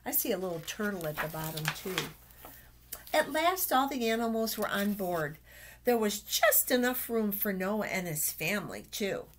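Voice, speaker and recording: An older woman reading a picture book aloud to young children, exclaiming and pointing things out with drawn-out words. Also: medium pitch, animated. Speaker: storytime reader for children. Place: small office, indoors. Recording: noisy webcam recording with clicks.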